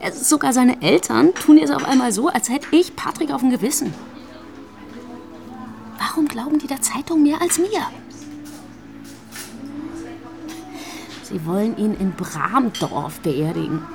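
An actress playing a bitter, grieving young pregnant girlfriend in a naturalistic radio drama, speaking haltingly and emotionally. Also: sits high, very animated, creaky, a little mellow, soft background music, heavily acted. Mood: bitter, hurt. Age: young adult.

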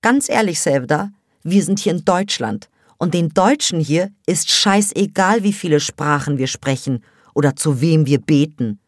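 A scornful teenage girl from a storybook, mocking and gossipy, who pitches her voice up whenever she lectures. A woman acts her out close to the mic in a dry, deadened space. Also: lively intonation, takes it slowly, a little mellow, no room sound, full character voice. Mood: scolding. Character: scornful teenage girl, sharp-tongued smoker.

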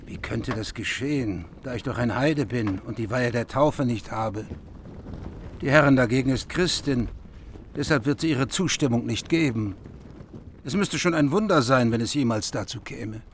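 A man's voice: mid-range voice, normal speed, slight background noise, close to an everyday voice. An actor in a radio drama playing an earnest heathen king eager to marry.

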